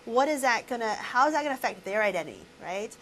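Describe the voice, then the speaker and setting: A woman's voice: fast, a little hiss or hum, poor audio. A woman professor giving a recorded lecture, asking students questions and tagging lines with "right?". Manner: animated, full of questions to students and "right?" tags; many fillers and restarts, swinging pitch. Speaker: university professor.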